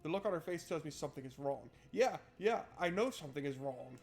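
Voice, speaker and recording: A man's voice: fairly high pitch, lively intonation, fast, creaky, a little mellow. A man doing a playful, wry let's play, switching between reading game text aloud and joking commentary. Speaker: gaming streamer.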